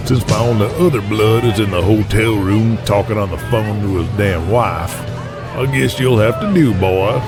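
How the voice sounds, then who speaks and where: A man's voice: fairly low pitch, moderate pace, natural voice. Man acting a swaggering, folksy old wrestling boss. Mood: gruff, boozy boasting. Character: folksy, foul-mouthed older male wrestling boss rallying his men. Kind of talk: audio drama acting. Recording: music behind the voice.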